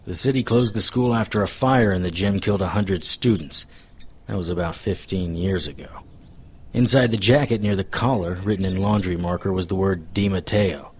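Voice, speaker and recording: A hardboiled occult detective in a radio-style drama. His voice is low and husky, with a dry, clipped, world-weary delivery that slips easily between noir narration and dialogue. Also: normal speed. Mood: sober narration.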